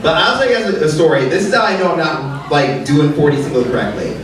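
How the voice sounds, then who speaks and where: Man, late 30s, stand-up comedian, lively swooping delivery, echoey club, crowd laughing behind. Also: fast. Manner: animated, exaggerated, swoops into high squeals. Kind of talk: stand-up set. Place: comedy club stage, live audience. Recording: roomy club sound from a distance, crowd laughter.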